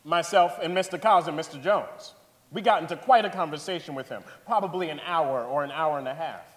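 A man's voice: on the high side, a little fast, lightly acted. A man playing an earnest father giving testimony in a staged 1890s courtroom drama reading. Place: large theater stage, indoors. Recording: hall reverb from a theater stage.

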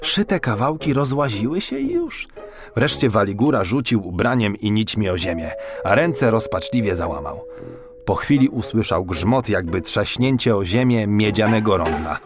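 Animated male fairy-tale narrator. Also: on the low side, normal speed, music in the background. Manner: animated, theatrical. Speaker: storyteller.

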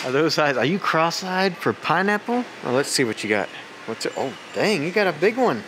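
A man's voice: on the high side, big pitch swings, moderate pace, background noise. A man in his forties, host of a food vlog, chatting eagerly as he eats at a restaurant with the camera held close. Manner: enthusiastic, chatty, narrates while eating. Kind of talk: casual food vlog chat. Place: indoor restaurant, then outdoor beach. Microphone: handheld camera held close.

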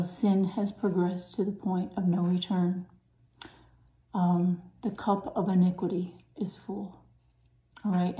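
A woman with a raspy voice preaching to her viewers in an earnest, urgent way, often dropping to a soft, breathy hush. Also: on the low side, echoey room, lo-fi sound. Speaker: channel host preaching.

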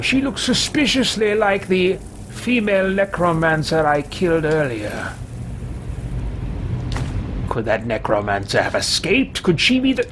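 A man's voice: high voice, noisy background, heavily acted. A man roleplaying a haughty, megalomaniac evil sorcerer for a video game, speaking in a pompous, theatrical and contemptuous way. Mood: scornful. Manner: pompous, theatrical, slow deliberate phrasing. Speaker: streamer. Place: small home room with shelves, indoors. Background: video game ambience.

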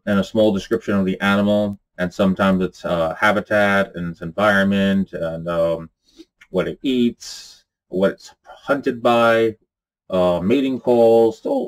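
A man in his forties talking casually to camera from a small room lined with bookshelves, rambling earnestly with lots of fillers. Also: lowish voice. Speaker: channel host.

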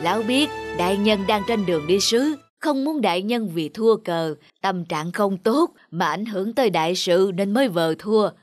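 An actor playing a wise, humble old countryside man in an animated folk tale, speaking politely and with measured calm. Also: high-pitched. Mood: gently instructive. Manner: measured, polite, gently instructive. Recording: music under the dialogue.

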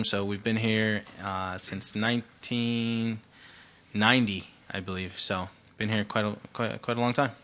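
A man's voice: fairly low pitch, a bit bright, slight background noise. A man born in Egypt introducing himself casually on a podcast, joking along the way. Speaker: podcast guest.

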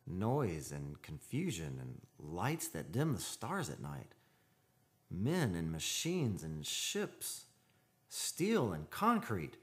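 An actor playing a haunted, lovelorn seafarer in a radio play, with theatrical, poetic delivery. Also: male voice, low-pitched, wildly swooping pitch, takes it slowly, heavily acted. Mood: brooding. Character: Gunnar, lovelorn haunted seafaring man, brooding and desperate. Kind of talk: old-time radio drama acting. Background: music behind the voice.

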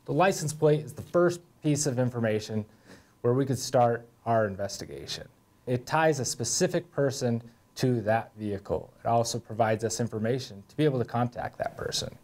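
A man's voice: medium pitch, normal speed, darkish tone. A man in his thirties with an American accent, giving a scripted instructional presentation as a conservation officer. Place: indoor room beside whiteboard. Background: music.

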